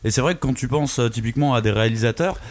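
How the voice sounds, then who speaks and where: A man's voice: mid-range voice, rapid-fire. Thoughtful man in podcast chat. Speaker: podcast co-host. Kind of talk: casual discussion.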